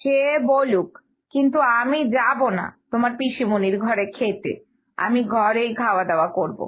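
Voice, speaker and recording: Woman playing older mother-in-law, high-pitched, fussy, stubborn, firm and explanatory, cartoon story dialogue. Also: moderate pace, a bit bright, husky. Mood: firm, dismissive.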